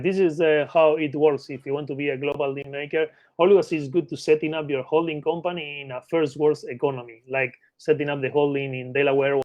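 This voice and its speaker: A man's voice: fairly high pitch, no room sound, muffled recording. A man from Argentina with an Argentine Spanish accent explains his work conversationally as an interview guest, often ending points with short tag questions. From Patagonia, Argentina. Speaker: interview guest, mergers and acquisitions consultant.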